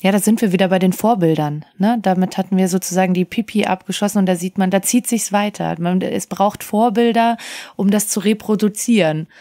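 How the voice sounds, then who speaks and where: A woman's voice: medium pitch, fairly quick, dark tone, dry recording. A woman chatting casually as a podcast panelist, often tagging her sentences with little questions. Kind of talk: casual conversational chat.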